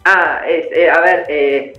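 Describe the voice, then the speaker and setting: A man's voice: a little high, fairly even intonation, slow, bright, forceful tone, soft background music. Man from Taiwan on an echoey video call. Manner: laughs often, hesitant with many fillers. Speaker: actor guest. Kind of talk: casual interview over video call.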